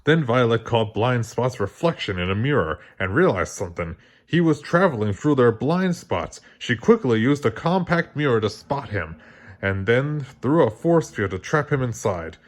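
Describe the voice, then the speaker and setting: A man narrating a children's story aloud in an expressive read-along style. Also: medium pitch. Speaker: storybook reader.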